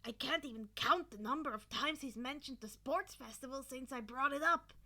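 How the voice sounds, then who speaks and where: An exuberant, teasing husband from an audio story, acted out by a woman. The voice is high and very bright, with punchy emphasis. He talks like an excitable, dramatic teacher. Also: normal speed, exaggerated voice. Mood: excited.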